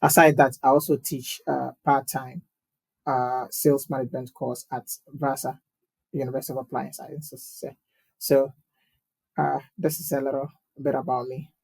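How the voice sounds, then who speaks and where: A man in his thirties from Ghana speaks in a steady, flat tone with a gravelly edge, in a dry room with no echo. He is a candidate answering interview questions, measured and full of pauses and restarts. His delivery stays close to one level throughout. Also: mid-range voice. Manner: measured, with many fillers and repeated words. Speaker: guest, municipal election candidate.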